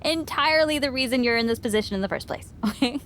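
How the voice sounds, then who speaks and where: A woman's voice: a little high, quick pace, fairly bright tone, slight background noise, close to an everyday voice. An actress playing a teasing but caring female wrestling promoter and loyal friend in a video game cutscene.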